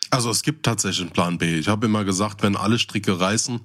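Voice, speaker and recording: A man's voice: lowish voice, fast talker, fairly bright tone. A man with a German accent chatting casually as co-host of a podcast.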